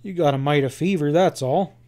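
A kindly rancher who is out of his depth talks in a folksy rural drawl, gruff but reassuring, as a man reads him. The voice sits a little high for a man. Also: fairly quick, a little mellow, lightly acted.

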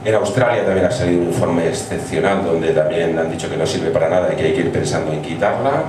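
A middle-aged man with a Spanish accent answering audience questions in a quick, conversational way that trails off. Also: sits low. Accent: Spain. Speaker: guest speaker. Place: small pub stage. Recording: echoey pub from far off, noisy.

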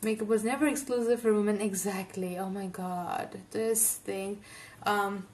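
A woman's voice: mid-range voice, steady tone, a little slow, darkish tone, slight background noise. An outspoken young woman from India gives casual reaction commentary, emphatic and talking with her hands. English is not her first language. She sits a little back from her earphone mic in a home bedroom, so her voice sounds slightly distant. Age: young adult. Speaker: reaction video host.

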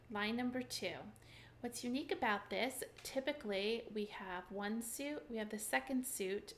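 A woman's voice: mid-range voice, a little hiss or hum. Upbeat woman teaching step by step. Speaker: mahjong teacher. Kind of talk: screen-share tutorial walkthrough.

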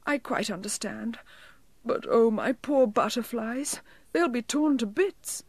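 A woman's voice: fairly high pitch, lively intonation, slow pace, breathy edge, dry recording, pitched above the performer's own voice, heavily acted. A woman with a British accent voicing a tiny, polite, pleading male elf in a children's storybook. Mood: tearful.

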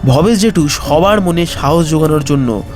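A man narrating a horror story as a storyteller, dramatic and suspenseful, with deliberate pauses. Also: fairly high pitch, expressive, a little mellow. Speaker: storyteller, channel host. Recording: music under the voice.

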